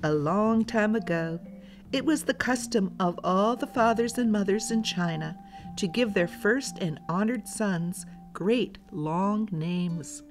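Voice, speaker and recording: A woman's voice: mid-range voice, takes it slowly, faint music behind. A woman storyteller narrating a children's folktale as a scripted read-aloud.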